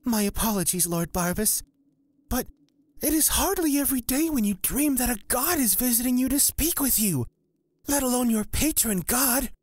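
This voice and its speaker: A man voicing a nervous, bewildered, deferential young cleric in a fantasy audio drama, polite and hurried. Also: very high-pitched, lively intonation, darkish tone, no room sound, voice pushed up. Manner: hurried, slightly stammering, polite.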